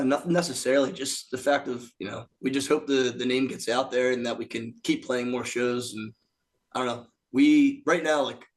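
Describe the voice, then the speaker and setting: A raspy-voiced man from a band, joking and swearing in casual podcast chat, often acting out what people said. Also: mid-range voice. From Philadelphia area. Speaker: band member guest.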